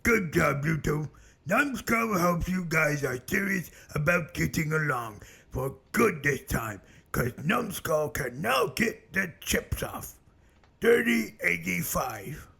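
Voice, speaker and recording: A man's voice: a little high, expressive, takes it slowly, creaky, pushed and bright, husky, heavily acted. A man voicing an odd creature playing referee in a web cartoon, speaking of itself in the third person. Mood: approving. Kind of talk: animated web cartoon dialogue.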